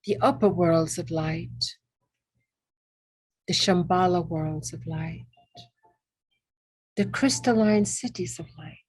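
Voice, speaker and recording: Very slow, gentle woman guiding meditation. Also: lowish voice, husky, low-quality recording. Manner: gentle, soothing, reverent, with long pauses between short phrases. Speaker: guided meditation leader. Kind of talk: guided meditation with ASMR elements.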